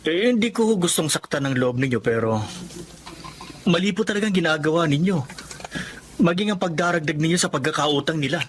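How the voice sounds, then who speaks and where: Actor as an earnest, higher-voiced grown son. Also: male voice, lively intonation, fairly quick, pauses often, slight background noise, close to an everyday voice. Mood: earnest pleading. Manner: respectful, pleading, firm. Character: earnest, principled adult son, husband and father. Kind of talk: radio drama acting.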